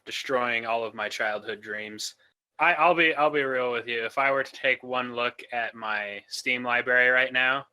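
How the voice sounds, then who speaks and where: A man's voice: medium pitch, bright, forceful tone, smooth, low-quality recording. A man chatting casually as a podcast guest, wry and joking with a taste for exaggeration. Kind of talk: casual chat.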